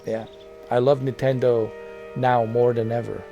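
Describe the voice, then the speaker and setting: A man's voice: medium pitch, little pitch movement, a little slow, warm tone, highs cut off, faint music behind. Rambling man in his forties. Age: 40s. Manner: rambling, repeats phrases, many fillers. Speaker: gaming channel host. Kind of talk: casual talk to camera.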